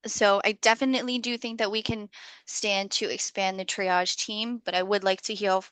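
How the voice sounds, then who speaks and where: Slightly hoarse woman hosting a call. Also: mid-range voice, fairly even intonation, normal speed, a bit bright, no echo, low-quality recording. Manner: friendly facilitator, many okays. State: slightly hoarse, losing her voice. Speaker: meeting host. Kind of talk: online community call. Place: video call.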